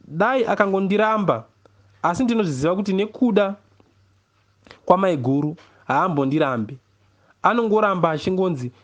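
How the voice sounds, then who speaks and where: Man, Zimbabwean accent, high voice, expressive audiobook narration, measured storytelling, deliberate pauses. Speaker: reader of a novel, poet. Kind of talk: expressive first-person literary audiobook narration in Shona.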